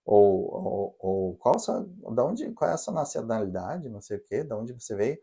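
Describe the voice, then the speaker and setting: A Brazilian man with a mellow, warm tone co-hosts a casual podcast chat. He explains things patiently and speaks straight to his listeners with practical advice. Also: fairly low pitch, sing-song, moderate pace, gravelly, breathy edge. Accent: Brazilian Portuguese. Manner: explanatory, advising listeners directly. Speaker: podcast co-host. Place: living in Canada.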